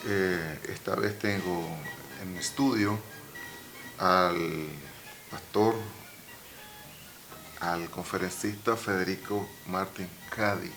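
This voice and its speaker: Slow, halting host with a bright voice. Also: male voice, sits low, steady tone, soft background music, poor audio. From Nicaragua. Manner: slow, halting, many fillers and restarts. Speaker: radio program host, pastor. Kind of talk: interview introduction. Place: radio studio.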